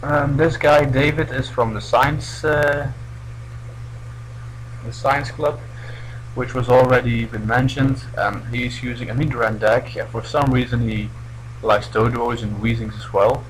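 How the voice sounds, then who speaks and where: A man's voice: medium pitch, fairly even intonation, measured pace, slight background noise, rough recording. A young man from Belgium, not a native English speaker, giving casual, hesitant let's play commentary. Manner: hesitant, restarts often. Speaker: gameplay commentator.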